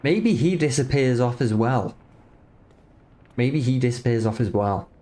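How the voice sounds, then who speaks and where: A man's voice: medium pitch, animated, measured pace, warm tone. A man giving casual reaction commentary as a streamer, musing aloud and often trailing off mid-thought. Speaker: streamer reacting to a fan-dubbed game movie.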